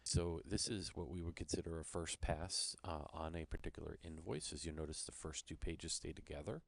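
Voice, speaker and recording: Deep-voiced man giving a software walkthrough. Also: a little fast, gravelly. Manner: explanatory, step-by-step. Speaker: software demo presenter. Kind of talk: informal screen-share product walkthrough.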